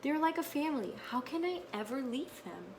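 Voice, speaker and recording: A woman voicing a polite, eager male hermit crab from a children's storybook, expressive and earnest. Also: high-pitched, normal speed, a little hiss or hum, pitched above the performer's own voice. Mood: fond.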